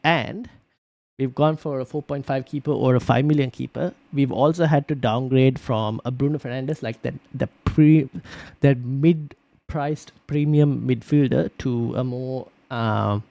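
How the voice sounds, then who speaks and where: Gravelly guy streaming fantasy football chat, mid pitch, dry close mic, lots of ums. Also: a little slow, mellow, dry recording, dull audio. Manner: thinks aloud, reads viewer comments, many fillers and restarts. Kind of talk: casual live-stream chat.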